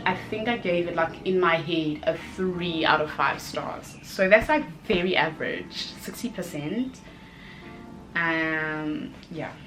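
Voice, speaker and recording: Chatty, expressive woman reviewing over music. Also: fairly low pitch, rough recording. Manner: expressive, emphatic, with short exclamations and repeated phrases. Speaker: book reviewer, video host. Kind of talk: casual chatty review to camera.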